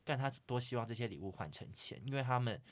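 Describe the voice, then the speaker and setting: A woman's voice: very deep voice, lively intonation, fast. A woman with a Taiwanese Mandarin accent chatting casually as a podcast co-host, blunt and teasing. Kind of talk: casual chat.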